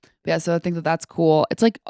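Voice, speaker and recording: A woman's voice: deep voice, steady tone, fast, dark tone, smooth, no echo, studio quality. A woman in her twenties chatting casually about books as a podcast co-host, in an informal way peppered with "like". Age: 20s.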